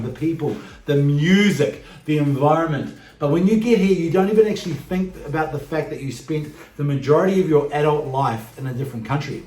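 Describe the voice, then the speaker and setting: Male vlogger, 40s, New Zealand expat accent, fairly high voice, emphatic delivery, roomy echoing sound. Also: darkish tone. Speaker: YouTube channel host. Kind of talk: direct-to-camera vlog talk.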